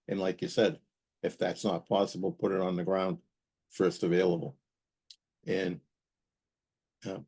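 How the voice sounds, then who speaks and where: A man talking casually as a podcast host, explaining technical matters in a halting way and repeating words for emphasis. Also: fairly low pitch, warm tone. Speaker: aviation safety podcast host and pilot.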